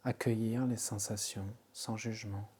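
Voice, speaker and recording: A man guiding a yoga nidra relaxation session, giving soothing, unhurried instructions with pauses between phrases. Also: on the low side, fairly even intonation, a little mellow, a little hiss or hum. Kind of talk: guided relaxation meditation.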